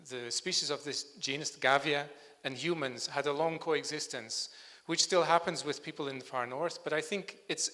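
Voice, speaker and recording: A man's voice: fairly high pitch, bright, forceful tone. A man from Scotland giving a conference presentation in a lecture hall, speaking hesitantly and searching for words. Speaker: bird sound recordist giving a talk. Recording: reverberant hall.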